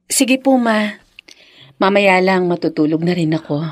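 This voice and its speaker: A long-suffering young wife. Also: female voice, fairly low pitch, lively intonation, measured pace, no room sound, clean studio sound. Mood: gentle. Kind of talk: Filipino radio drama acting.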